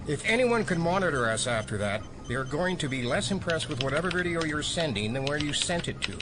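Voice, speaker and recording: A man's voice: fairly high pitch, very animated, a little fast. An American man playing a grumbling middle-aged conspiracy-minded tech geek in an audio drama. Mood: dry, explanatory. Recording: music behind.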